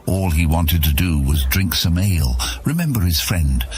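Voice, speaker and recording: A man's voice: low-pitched, lively intonation, normal speed, darkish tone, soft background music. A man narrating a fantasy audio serial as a dramatic, scripted story.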